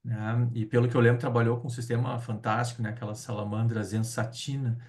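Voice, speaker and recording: A man's voice: medium pitch, level tone, a little fast, darkish tone, far from the mic. A man introducing a guest speaker at a seminar in an informal way, often ending his sentences with tag questions. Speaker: seminar host. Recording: voice from away from the mic.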